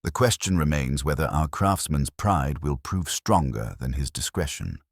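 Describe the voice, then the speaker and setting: A man acts out a reflective police inspector from a detective storybook, formal and wry. The voice is extremely low and deliberate, with expressive swings in pitch. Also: dry recording, clean studio sound, full character voice. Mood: wry, musing.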